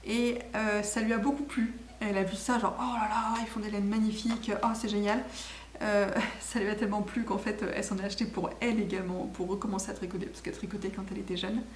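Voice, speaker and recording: A woman's voice: medium pitch, little pitch movement, fast. Woman chatting in an echoey room. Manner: enthusiastic, rambling, self-correcting. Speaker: knitting podcast host. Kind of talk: casual video podcast chat. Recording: echoey room with faint background noise.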